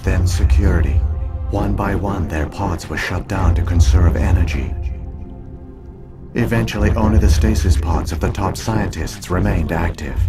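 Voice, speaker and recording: An actor playing an ancient alien artificial intelligence in a video game, speaking in a formal, measured, unemotional way. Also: male voice, low voice. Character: ancient alien AI hologram guardian, solemn and measured. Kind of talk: scripted narration, video game acting.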